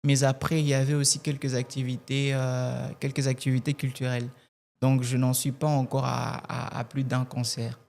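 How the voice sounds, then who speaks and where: A man from Kasai-Oriental with Tshiluba as his first language, a gospel singer answering interview questions in a measured way and often repeating words as he looks for them. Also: medium pitch, little pitch movement, vocal fry, a little mellow. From Kasai-Oriental, DR Congo. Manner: measured, repeats words while searching for phrasing.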